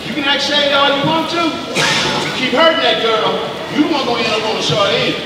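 A man's voice: extremely high pitch, expressive, fast. An American man acting on stage as a blunt, reproachful old friend, preachy and insistent. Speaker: stage actor. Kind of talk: live stage play acting. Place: large theater stage, indoors. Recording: very noisy echoey theater, distant mic.

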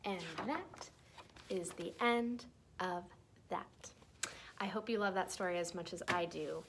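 A young woman reads a children's picture book aloud in an expressive, deliberate way for young listeners. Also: fairly low pitch, background noise. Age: young adult. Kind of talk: storybook read-aloud. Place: small decorated room indoors.

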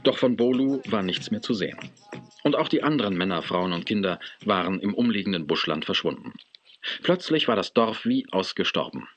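A man's voice: fairly low pitch, expressive, fairly quick, a bit bright, lo-fi sound. A man acting as the storyteller of a children's adventure audio drama, reading scripted narration. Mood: narrating.

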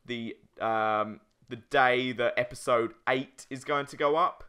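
A man's voice: mid-range voice, fairly even intonation, a little slow, bright, forceful tone, clear, resonant tone. A young man co-hosting a casual gaming video, bantering in a jokey way with crude humour. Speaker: let's play co-host.

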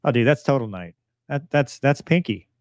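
A man's voice: medium pitch, expressive, moderate pace, no echo, clean studio sound. An American man in his forties hosting a podcast with informal, joking banter. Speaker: podcast host, military pilot. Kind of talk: casual banter, commenting on a clip. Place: small home studio room. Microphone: studio microphone on boom arm, headphones.

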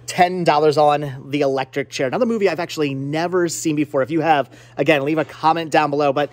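A man in his thirties hosting a casual, chatty vlog in an excitable way, often repeating his words, recorded on a handheld camera in a shop. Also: on the high side, quick pace. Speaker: vlog host. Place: indoor cluttered video and game shop. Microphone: handheld camera at arm's length.

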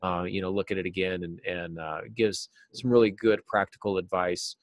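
A middle-aged man with a fairly low voice explains things conversationally as an interview guest. The sound is dry, with very little room to it, as he talks on a video call like a teacher. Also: steady tone, moderate pace, dry recording. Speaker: guest, novelist and writing teacher. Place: small office, indoors.

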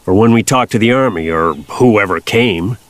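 A man's voice: lowish voice, moderate pace, dry recording, lightly acted. An actor playing an older Kansas farmer father, plainspoken, folksy and patiently wry, in an audio drama.

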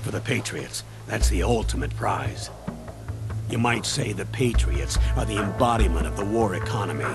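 An actor playing an older colonel in a video game, briefing in a measured, grave, authoritative way. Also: male voice, lowish voice, over music, close to an everyday voice. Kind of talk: video game cutscene acting.

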